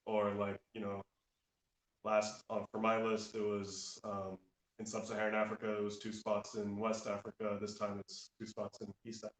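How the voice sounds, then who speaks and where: A man's voice: fairly low pitch, monotone, normal speed. A man chatting informally and reflectively as a travel writer being interviewed. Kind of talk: casual interview chat. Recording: roomy, echoing live talk.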